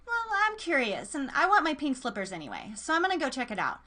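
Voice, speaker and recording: A woman's voice: high voice, quick pace, pitched above the performer's own voice, heavily acted. A woman voicing a flippant, curious friend in an amateur comedy horror script read. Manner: animated, scoffing.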